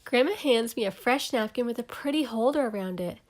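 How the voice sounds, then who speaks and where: A woman reading a story aloud for young children, animated and clearly enunciated, taking care over each word. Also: fairly high pitch, a little fast. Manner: animated, clearly enunciated, spells out and explains words.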